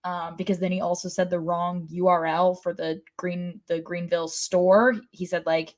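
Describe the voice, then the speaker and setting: Woman co-hosting a sports podcast, kinda low voice, casual chat. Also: little pitch movement, normal speed, darkish tone.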